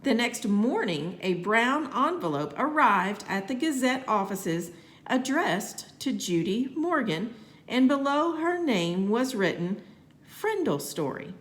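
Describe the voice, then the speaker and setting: A woman reads a children's story aloud with expressive, careful delivery. Her voice sits fairly high and moves in lively swings. The room adds a noticeable echo. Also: takes it slowly. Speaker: teacher reading aloud to students. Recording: roomy, echoey home-style recording.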